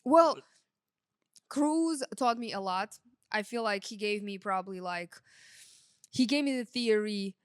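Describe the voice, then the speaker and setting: A woman's voice: medium pitch, measured pace, long pauses, smooth, no echo, studio quality. A woman in her thirties from Russia, a native Russian speaker, talking informally and modestly as a podcast guest, with lots of "like" and "you know". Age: 30s. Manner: informal, self-effacing, peppers talk with "like" and "you know". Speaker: podcast guest, sound engineer. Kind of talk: casual chat interview.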